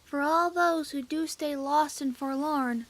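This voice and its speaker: A woman voicing a young cartoon filly, a plaintive little pony girl in a fan fiction audio drama. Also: high-pitched. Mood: quiet reciting. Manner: plaintive, drawn-out cries.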